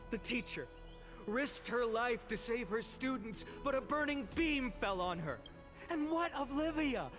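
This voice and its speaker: An earnest young hero in a video game, his voice sitting high and unhurried. He gives solemn, measured speeches, and soft game music plays underneath. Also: full character voice. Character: earnest young male hero, grieving orator.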